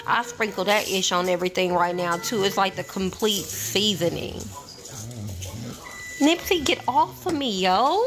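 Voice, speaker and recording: A playful, chatty woman talks viewers through cooking in her home kitchen. Her voice sits in a middle pitch, relaxed and conversational. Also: measured pace. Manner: chatty, playful, says y'all a lot. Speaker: cooking vlogger. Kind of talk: casual cook-along chat.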